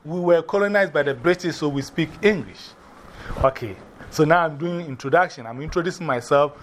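Ghanaian male teacher, animated classroom delivery, high pitch, faint classroom ambience. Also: fairly quick, a little hiss or hum. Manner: lively, repeats and spells out words, laughs often.

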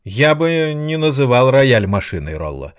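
A man's voice: medium pitch, sing-song, a little slow, smooth. A man playing a cultured, condescending yet passionate piano maestro in an audio drama, lecturing with theatrical irony. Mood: patient, amused.

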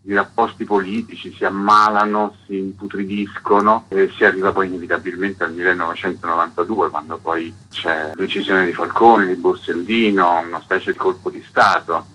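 A man's voice: deep voice, level tone, normal speed, hardly any pauses, pushed and bright, a little hiss or hum, low-quality recording. A man, explaining his work as a guest in a radio interview. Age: 50s. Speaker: author and actor, interview guest.

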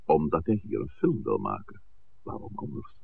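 A tinny old radio play recording carries a stern old parish priest. His voice is low and gravelly, with sweeping intonation. He speaks in measured, formal sentences, commanding and protective of his flock. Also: huge pitch swings, a little hiss or hum, exaggerated voice. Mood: pointed, probing. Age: older. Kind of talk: 1960s radio drama acting.

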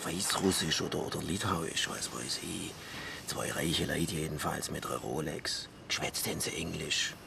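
A male actor playing a jovial, folksy garage mechanic with a gravelly voice and a Swabian accent in a radio drama. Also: rumbling low, normal speed, noisy background, exaggerated voice. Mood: dismissive. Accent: Swabian German. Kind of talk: naturalistic radio drama acting.

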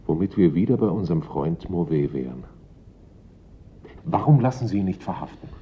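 Casting: wry, understated confidant in a radio drama, deep register, quietly ironic; lo-fi recording with faint noise. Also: male voice, lively intonation, normal speed. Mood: wry musing. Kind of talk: German radio drama acting. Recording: dull old radio recording, faint rumble.

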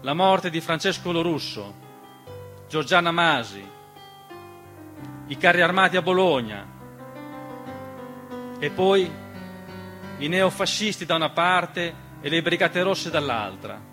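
A man delivering a scripted radio theatre monologue, measured and dramatic, with deliberate pauses. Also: fairly high pitch, lively intonation, pushed and bright. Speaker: stage storyteller and narrator. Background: piano music.

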